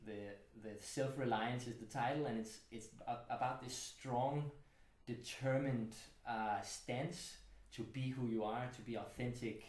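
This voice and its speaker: A man's voice: mid-range voice, steady tone, a little slow, a little mellow, roomy sound. A man hosting a casual, thoughtful book club conversation in a home studio, talking reflectively with many fillers. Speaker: host.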